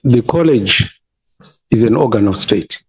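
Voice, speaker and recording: A man from Zimbabwe, a college principal, answering a committee's questions in a hesitant, halting way with many fillers. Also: medium pitch, animated, breathy edge, rough recording.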